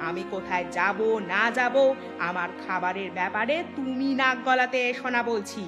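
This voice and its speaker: A woman playing a gluttonous, quarrelsome village wife in a cartoon, sharp-tongued and quick to take offence. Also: a little high, close to an everyday voice. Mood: indignant, scolding. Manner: scolding, indignant. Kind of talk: Bengali cartoon dialogue. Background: prominent background music.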